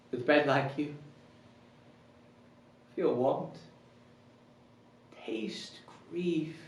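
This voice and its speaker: A man performing a deposed, bitterly grand king in a theatrical Shakespearean verse monologue, declamatory and drawn-out, swinging between hushed and ringing. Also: a little high, heavily acted. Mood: grieving. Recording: roomy echo, distant microphone.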